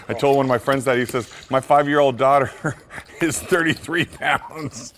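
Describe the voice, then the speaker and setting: A man's voice: medium pitch, unhurried, a bit bright, slight background noise. A man who grew up in Taiwan, chatting casually at a poker table, rambling, repeating himself and laughing often. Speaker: poker player. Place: poker table in a studio card room.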